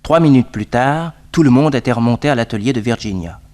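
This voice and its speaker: Brisk storytelling detective's assistant played by an actor. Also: male voice, mid-range voice, heavy background noise, lightly acted. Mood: brisk narration. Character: detective's loyal young assistant, narrating the adventure. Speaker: narrator. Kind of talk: scripted dramatic narration, old French radio serial.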